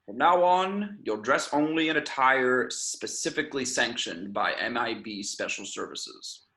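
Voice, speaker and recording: Guy doing a commanding secret agency chief in a script read, medium pitch, measured and stern. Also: expressive, sharp tone. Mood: solemn, commanding. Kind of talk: amateur film script table read.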